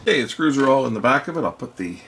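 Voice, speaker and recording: A man's voice: mid-range voice, lively intonation, rapid-fire, creaky, fairly bright tone, slight background noise. A man giving casual hands-on narration as host of an electronics repair video, half muttering to himself as he works.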